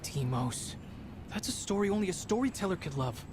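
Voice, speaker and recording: A man's voice: high voice, sing-song, normal speed, noisy background. An actor playing an earnest, dutiful teenage Spartan boy in a video game, serious and formal, with music underneath. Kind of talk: dramatic video game acting.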